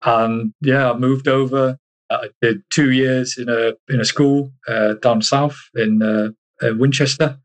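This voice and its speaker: A man from Iceland with a mid-pitched voice talks casually in an interview. He is halting, often doubling back on words as he looks for the right one. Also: fairly even intonation, rough recording. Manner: halting, searching for words, repeats himself. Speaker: interview guest, army soldier.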